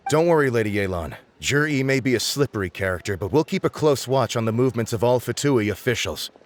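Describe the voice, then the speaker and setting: A man's voice: mid-range voice, animated, a little fast, a little hiss or hum, natural voice. A man playing a smug, defensive information broker in a video game, wordy and sarcastic. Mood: assuring. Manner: wordy, snide. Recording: music behind the voice.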